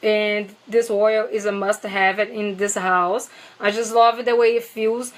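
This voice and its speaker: A woman's voice: medium pitch, steady tone, a little slow. A woman with a heavy Brazilian accent, chatting casually and eagerly about products in a vlog, with many fillers. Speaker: essential oils seller.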